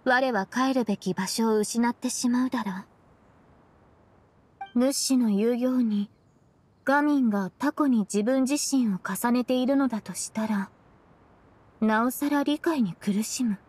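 A woman voicing an aloof young woman in a game, reserved, solemn and earnest, with a slightly husky voice. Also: on the high side, unhurried, pauses often. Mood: reflective. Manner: reserved, literal, flatly earnest. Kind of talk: restrained game voice acting.

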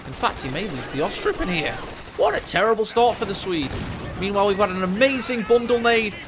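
A man with a deep voice casting a strategy game live, excitable and joking, speaking into a desk microphone in a small room at home. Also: fairly quick, over music. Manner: excitable, jokey, rising with the action. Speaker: game caster. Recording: game sound effects under the voice. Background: game gunfire and ambience.

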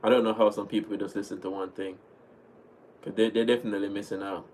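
Relaxed Jamaican man on a livestream. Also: on the low side, quick pace, slight background noise. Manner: relaxed, chatty, thanks viewers often. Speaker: livestream host reading chat. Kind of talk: casual livestream chat. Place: small home room indoors. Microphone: webcam at desk.

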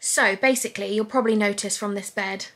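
A woman's voice: medium pitch, fairly even intonation, fairly quick, a bit bright. A young woman from England filming a casual vlog, gushing and exclaiming as she looks around a house being renovated. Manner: gushing, exclamatory, laughs often. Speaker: vlogger. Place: house under renovation, indoors.

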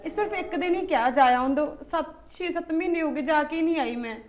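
A woman's voice: high-pitched, quick pace, slight background noise, natural voice. A woman, playing a young wife who keeps pleading to visit her parents. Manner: pleading, persistent, earnest. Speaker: daughter-in-law.

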